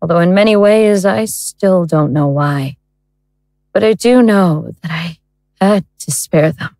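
A godlike being who remakes worlds, in a low female voice that takes its time. She is cold and imperious, speaking with deliberate disdain. The audio drama delivery is close and dry. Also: darkish tone, no room sound. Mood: cold contempt.

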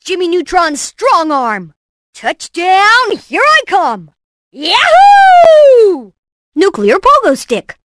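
A woman voicing a brainy, cocky, excitable boy inventor in cartoon game clips, with a theatrical, punchy delivery. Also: extremely high pitch, takes it slowly, background noise, full character voice. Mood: exuberant. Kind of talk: animated game voice clips, short exclamations and catchphrases.

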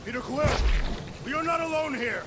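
Formal, declamatory alien warrior. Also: male voice, very high voice, expressive, moderate pace, over loud music. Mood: stern, wary. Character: solemn, literal-minded hulking male alien warrior.

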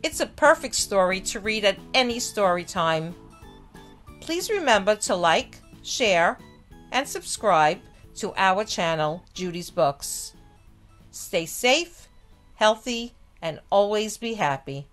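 Woman, children's storyteller, very varied pitch, unhurried phrasing, dramatic pauses, music behind. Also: animated, a bit bright. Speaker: read-aloud host and storyteller. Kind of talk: expressive picture-book narration for children.

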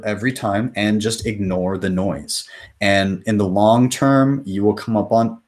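Chatty man hosting a home live stream. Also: lowish voice. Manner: loose and chatty, reads viewer comments aloud, swears casually. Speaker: crypto channel host. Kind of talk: live stream Q&A, casual chat. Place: small room at home, indoors.

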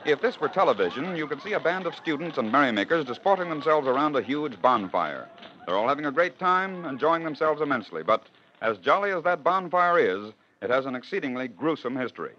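A man's voice: on the high side, sing-song, brisk, sharp tone, slight background noise. A man hosting and narrating in scripted 1940s radio style, with a rhetorical storytelling manner. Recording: dull old radio broadcast.

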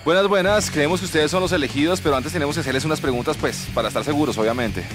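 A man's voice: a little high, very fast, music in the background, lightly acted. A man in his thirties with a Colombian accent playing a bumbling, solemn hero in a comic radio serial, speaking close to a studio mic. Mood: solemn questioning. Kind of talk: comic radio drama acting. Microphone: close broadcast microphone.

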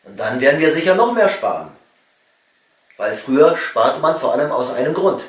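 A middle-aged man from East Germany reading a satirical comedy monologue with wry emphasis and pointed pauses. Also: on the high side, sing-song. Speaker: cabaret comedian and author. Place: small home study, indoors. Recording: roomy echo, distant microphone.

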